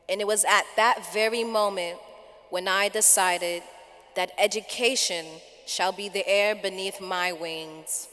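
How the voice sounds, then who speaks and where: A woman student giving a prepared personal speech with slow, deliberate, emphatic delivery. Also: mid-range voice, fairly bright tone. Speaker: student speaker. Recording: long hall reverb.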